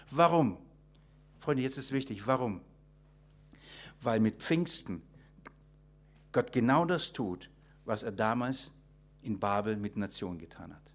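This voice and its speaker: A male preacher with a slightly high voice delivers an unscripted expository sermon. He drives points home with repeated phrases and rhetorical questions, often breaking off and restarting a sentence as he goes. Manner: emphatic, with rhetorical questions and repetition.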